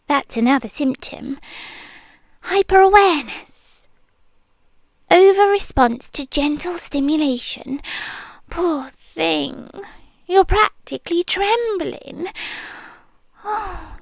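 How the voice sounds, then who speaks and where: A British woman playing a possessive nurse who whispers slowly and softly right at the ear. Her voice sits high, and the sound is close and completely dry, with no room around it. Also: dry recording. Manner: slow, soft, intimate whispering close to the ear. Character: possessive female nurse, soft and controlling. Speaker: ASMR roleplay performer. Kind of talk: whispered ASMR roleplay.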